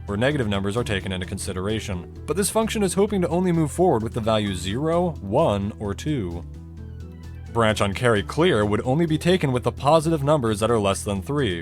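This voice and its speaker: A man giving a scripted, explanatory video narration in a conversational way, with dry asides and rhetorical questions. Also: on the low side. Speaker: video creator narrating a technical game explainer. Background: music bed under the voice-over.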